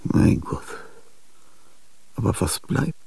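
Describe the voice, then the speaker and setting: An older man acting in a radio play, with a husky, gravelly voice and a halting, dreamlike monologue that slips into whispers. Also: dark tone, dry recording, far from the mic. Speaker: radio drama actor.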